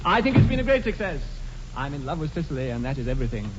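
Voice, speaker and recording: An impetuous young English gentleman, talks fast in a highish, very animated voice. His upper-class delivery is suave, ardent and theatrical, in the manner of 1940s radio comedy. The recording is old and dull. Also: slight background noise. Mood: breezy.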